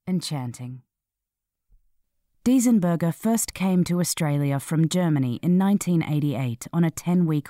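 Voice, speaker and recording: A woman narrating a scripted feature article in a measured, clearly read delivery. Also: low-pitched, warm tone, dry recording, studio quality.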